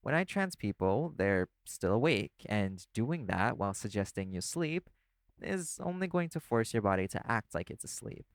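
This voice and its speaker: A man playing a laid-back, caring vampire in an audio roleplay, talking the listener toward sleep in a casual, gently instructive way. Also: on the low side, big pitch swings, moderate pace, dry recording. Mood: wry, explaining. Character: laid-back male vampire companion, teasing yet caring.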